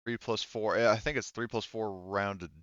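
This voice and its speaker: A man's voice: fairly low pitch, fairly even intonation, moderate pace, fairly bright tone, dry recording, muffled recording. A man running a tabletop role-playing game as game master, talking informally and swearing casually. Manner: profane, informal. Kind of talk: casual tabletop game narration, online session.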